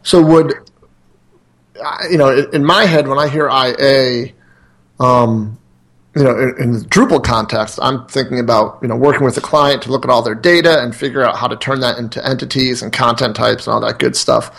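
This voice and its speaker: A man's voice: mid-range voice, steady tone, moderate pace, vocal fry, a bit bright. A man from central Connecticut co-hosting a podcast, asking guests friendly, joking follow-up questions in a casual way. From central Connecticut, USA. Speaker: podcast co-host. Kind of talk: casual interview chat.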